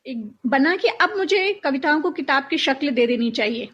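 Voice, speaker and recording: A woman's voice: sits high, expressive, normal speed, fairly bright tone, lo-fi sound. A woman hosting a conversational interview and putting questions to her guest. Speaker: interview host.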